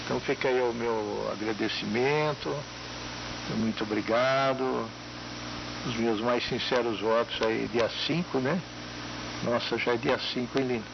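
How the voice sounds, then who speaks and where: A muffled radio transmission with clear hiss and buzz carries a Brazilian man's gravelly voice. He chats casually as an amateur radio operator, friendly and talkative, often tagging his phrases with little questions. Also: medium pitch, steady tone, measured pace. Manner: friendly, chatty, frequent tag questions. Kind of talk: casual ham radio chat with greetings and call signs.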